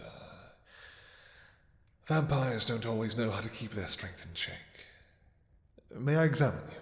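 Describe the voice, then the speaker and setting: A man playing an imperious, possessive vampire king in a romantic audio roleplay, speaking in a deep, soft, courtly voice with formal phrasing. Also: vocal fry, full character voice. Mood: courtly, probing. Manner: formal, courtly, commanding, hesitant pauses. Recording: echoey hall with long reverb.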